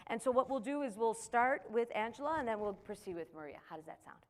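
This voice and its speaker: A woman's voice: a little high, lively intonation, quick pace. A woman moderating a panel, introducing the guests with enthusiastic praise.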